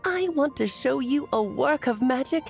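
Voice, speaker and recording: A woman's voice: sits high, expressive, normal speed, creaky. A woman voicing an elegant, theatrical mage who speaks grandly and with playful self-assurance. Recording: game audio with faint music.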